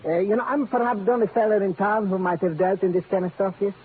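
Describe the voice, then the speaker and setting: Actor as high-voiced foreign shopkeeper, old radio. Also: male voice, fast, phone-quality sound, slight background noise, natural voice. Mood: musing. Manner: hesitant, rambling, eager. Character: quirky foreign-born male curio shop importer, chatty, forgetful. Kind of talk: comic 1940s radio character acting.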